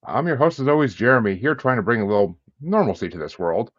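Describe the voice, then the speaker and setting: A man's voice: medium pitch, big pitch swings, fast talker, no room sound. A man hosting a podcast interview, chatty and jokey, laughing between lines over a video call. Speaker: podcast host. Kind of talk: casual interview chat. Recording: dull dry lo-fi sound.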